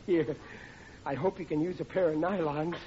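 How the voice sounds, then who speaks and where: A man's voice: fairly even intonation, moderate pace, mellow, close to an everyday voice. An actor playing a bumbling, good-natured working-class man in 1940s radio comedy, hesitant and folksy in his talk. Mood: sheepish wheedling. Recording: dull, noisy old radio recording with rumble.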